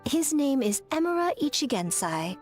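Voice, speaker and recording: Sly, elegant fox lady for a game: coy, teasing, highish and lilting, music underneath. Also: very animated, normal speed. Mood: smooth, knowing. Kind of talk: video game voice acting.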